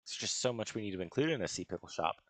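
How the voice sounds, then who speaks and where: A man with a gravelly voice giving casual gaming commentary as he builds, musing and hesitating as he talks to himself. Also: lowish voice, lively intonation, fast, no echo. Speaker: gaming video host. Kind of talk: casual Minecraft building commentary, thinking aloud.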